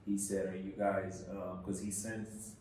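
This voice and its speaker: A man from Senegal, a guest in an interview, telling his story casually with many pauses, fillers and restarts. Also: deep voice, flat delivery, dark tone. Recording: echoey room, mic at a distance, faint noise.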